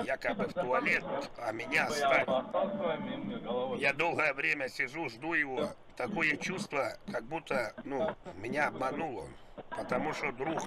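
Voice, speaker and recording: A man making an improvised prank phone call, rambling and repeating himself with feigned naive helplessness. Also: medium pitch. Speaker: prank caller.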